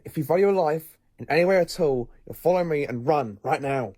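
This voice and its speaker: A man playing a brisk, eccentric time-travelling professor in an audio drama, musing and hesitant yet commanding. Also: on the high side, darkish tone, dry recording, lightly acted. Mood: urgent.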